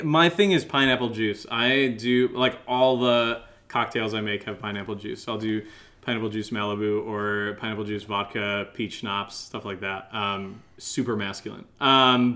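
A man co-hosting a podcast, chatting casually in a teasing, jokey, self-deprecating way and getting animated. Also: fairly low pitch. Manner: animated, gets louder. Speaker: co-host of a horror audio drama podcast, answering listener questions. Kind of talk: casual comedic chat.

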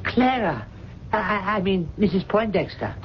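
An actor playing an ailing, devoted older butler in a radio drama, formal, deferential and hesitant. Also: male voice, high-pitched, big pitch swings, moderate pace. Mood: flustered, solicitous. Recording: dull, noisy 1970s radio broadcast.

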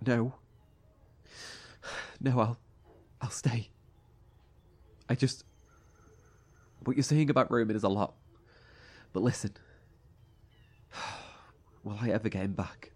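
An anguished young male survivor in a horror audio drama. His voice is husky and breathy, and he stammers through his words, overwhelmed yet protective. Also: mid-range voice, a little mellow. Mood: shaken, subdued. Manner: halting, stammering, emotional.

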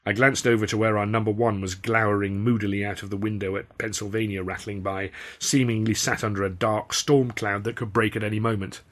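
A man's voice: lowish voice, little pitch movement, brisk, hardly any pauses, fairly bright tone. A man reading a novel aloud as an author and narrator, in scripted audiobook style.

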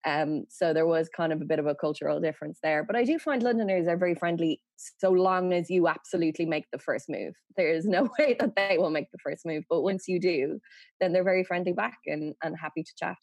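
Brief: woman, Dublin accent, lowish voice, dry close sound, chatty and teasing podcast guest. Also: fairly quick, a little mellow, dry recording. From Ireland. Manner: chatty, laughs often, teasing. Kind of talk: casual chat. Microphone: video call.

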